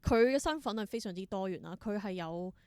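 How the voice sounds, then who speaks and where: A woman's voice: mid-range voice, expressive, fairly quick, darkish tone, clean tone, no echo. Young Hong Kong woman telling stories. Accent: Hong Kong Cantonese. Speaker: podcast guest, theatre performer. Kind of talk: casual interview storytelling.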